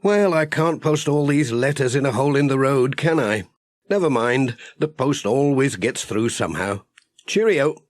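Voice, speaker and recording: A man's voice: medium pitch, animated, normal speed, a little hiss or hum, lightly acted. A man playing a friendly village postman in a children's audiobook, cheerfully musing aloud to himself and his cat. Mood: resigned, cheerful. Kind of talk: children's audiobook character acting.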